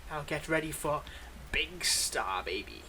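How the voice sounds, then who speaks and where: A man's voice: on the high side, expressive, measured pace, sharp tone, a little hiss or hum, exaggerated voice. A man playing a weary, wry supervillain with a secretly kind side, talking conversationally and a little hesitantly in an intimate roleplay audio monologue. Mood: teasing, fond.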